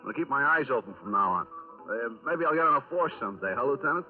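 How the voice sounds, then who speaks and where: A man's voice: mid-range voice, lively intonation, quick pace, natural voice. An actor playing an eager, apologetic male witness, stammering and flustered, in a 1950s radio crime drama. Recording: restored 1950s radio, narrow band, music behind.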